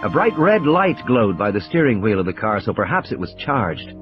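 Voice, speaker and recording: Man narrating with lively, varied pitch. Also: moderate pace, highs cut off. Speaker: audiobook narrator. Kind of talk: scripted dramatic story narration. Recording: music and sound effects behind the voice. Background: music and background sound effects.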